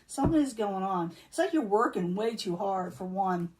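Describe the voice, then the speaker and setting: A woman reading tarot cards in a casual, chatty way, losing her voice and clearing her throat now and then. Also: mid-range voice, lively intonation, moderate pace, a little mellow, distant mic, a little hiss or hum, low-quality recording. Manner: chatty, repeats herself, many um fillers. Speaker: tarot reader.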